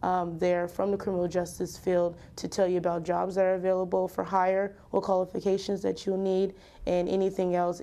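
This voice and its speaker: Young woman, low even mellow voice. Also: creaky. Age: young adult. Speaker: college student. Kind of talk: informal interview testimonial.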